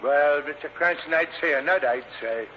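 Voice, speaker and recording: An actor playing a nervous working-class cab driver in an old radio drama, folksy and repeating himself. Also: male voice, fairly high pitch, normal speed, close to an everyday voice. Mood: uneasy. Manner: folksy, repeats his own phrases. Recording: dull, noisy old radio recording.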